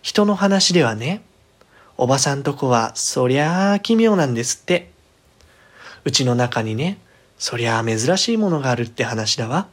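A man's voice: medium pitch, expressive, moderate pace, lightly acted. A man voicing a headstrong, cheeky young girl who spooks easily, with girlish, dramatic phrasing in a horror storybook reading. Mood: cheeky, eager.

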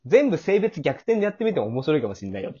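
Guy hosting a casual cast chat, jokey, higher husky voice, muffled lo-fi sound. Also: big pitch swings, very fast, mellow. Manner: teasing, jokey. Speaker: host of a radio drama cast talk. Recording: muffled lo-fi audio.

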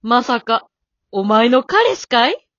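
Radio drama grandmother, female amateur: elderly, high-pitched, teasing and doting, old-folk phrasing, close dry sound. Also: very animated, slow pace, no echo, muffled recording. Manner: old-folk drawl with hearty chuckles.

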